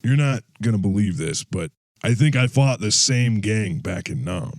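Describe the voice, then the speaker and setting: An actor playing a gruff, blunt older war veteran in an audio drama. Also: male voice, fairly low pitch, lively intonation, normal speed, no room sound, clean studio sound. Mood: grave, recounting. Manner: blunt, swearing, colloquial.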